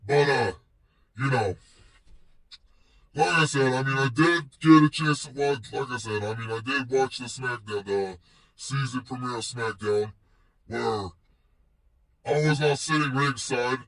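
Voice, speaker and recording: Gravelly, husky man vlogging, slightly distant. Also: medium pitch, measured pace, pauses often, a bit bright. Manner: slangy, swearing, playful, rambling. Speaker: vlogger and show host. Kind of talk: casual wrestling chat vlog.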